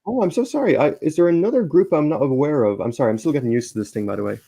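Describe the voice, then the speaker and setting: A man hosting a casual live stream, slightly hoarse and halting, with many restarts. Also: on the high side, expressive, mellow. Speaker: stream host.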